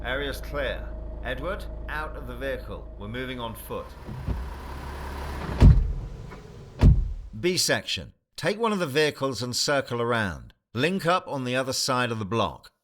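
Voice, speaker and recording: A terse, authoritative male squad leader , played by a man barks orders in an audio drama. His voice sits at a medium pitch, set against clear battlefield and vehicle noise. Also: big pitch swings, normal speed, close to an everyday voice. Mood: focused, steady. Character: commanding male squad leader of a clandestine task force.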